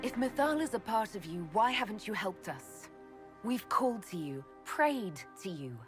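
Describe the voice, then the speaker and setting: An actress playing a composed, determined young heroine in a fantasy video game, speaking in an earnest, plain way. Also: female voice, mid-range voice, very animated, moderate pace, close to an everyday voice. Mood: pleading. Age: young adult. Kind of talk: dramatic video game acting. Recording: orchestral game music behind the voice.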